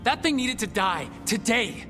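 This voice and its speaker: A man's voice: sits high, very animated, unhurried. A man playing an earnest hero in scripted fantasy game dialogue. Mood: frustrated. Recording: long reverb, music behind.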